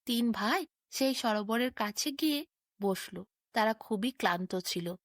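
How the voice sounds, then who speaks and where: Female fairy-tale narrator: higher-pitched voice, very lively melody, scripted bedtime storytelling. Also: unhurried, no room sound, clean studio sound.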